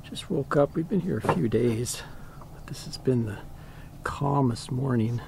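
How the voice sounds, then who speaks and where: A man casually narrating a boating vlog outdoors on his boat, relaxed and chatty, sometimes dropping to a soft voice. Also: mid-range voice, gravelly, a little hiss or hum. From Canada. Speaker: boating vlogger.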